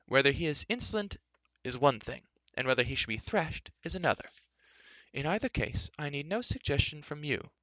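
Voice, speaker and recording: A man voicing an aged, stern wizard in a storybook reading, with a dry, dismissive, measured manner. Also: on the high side, expressive, sharp tone, dry recording, voice pushed up, rough recording. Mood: stern, unperturbed. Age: older. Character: aged enchanter, stern, unperturbed and dryly dismissive.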